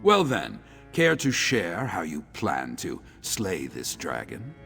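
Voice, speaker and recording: A stern, pompous middle-aged general in a fantasy video game. His low, gravelly voice swings widely in pitch with sardonic disdain, ringing in a reverberant hall. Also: wildly swooping pitch, takes it slowly, pushed and bright, soft background music, exaggerated voice. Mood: stern, dismissive. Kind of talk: video game character dialogue.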